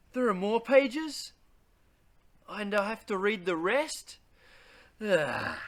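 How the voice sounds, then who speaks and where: A man's voice: high voice, a bit bright, exaggerated voice. A man acting out an exasperated, reluctant grown-up forced to read a silly children's storybook, whiny and melodramatic. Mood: indignant, reluctant.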